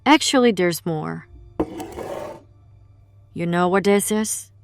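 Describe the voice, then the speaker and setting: A woman's voice: fairly low pitch, takes it slowly, gravelly, soft background music. A woman playing a grave, knowing investigator of the occult who explains things in formal words in an audio drama. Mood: grave, ominous.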